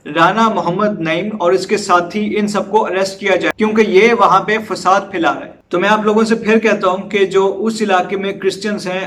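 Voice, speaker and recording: A man's voice: high-pitched, a bit bright. A Pakistani man presenting news commentary in an earnest, urgent manner, dropping in English words and appealing directly to viewers. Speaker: presenter of a news and discussion channel.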